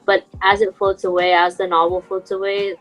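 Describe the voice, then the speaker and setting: A woman's voice: mid-range voice, flat delivery, moderate pace, a bit bright, poor audio. A young woman with a mid-range voice chats casually as a podcast co-host. She sounds earnest and enthusiastic, poking fun at herself as she goes. Faint music plays behind her. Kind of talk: casual chat among friends.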